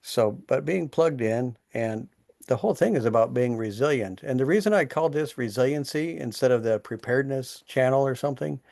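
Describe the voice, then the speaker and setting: A man speaking at an online town hall, explaining disaster resiliency in a relaxed, plainspoken way. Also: medium pitch, brisk, gravelly, studio quality. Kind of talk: casual conversational explaining.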